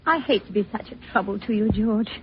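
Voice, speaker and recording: An actress playing a gentle, ailing middle-aged aunt in an old radio drama, soft-spoken and resigned. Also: female voice, mid-range voice, brisk, a little hiss or hum, natural voice. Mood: gentle concern. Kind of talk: 1940s radio drama acting. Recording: muffled old radio recording.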